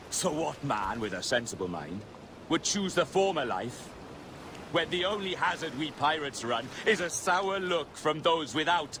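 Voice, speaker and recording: An actor playing a shrewd, grandiose pirate captain, speaking in a theatrical, speechmaking way. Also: male voice, on the high side, background noise. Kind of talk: video game cutscene acting.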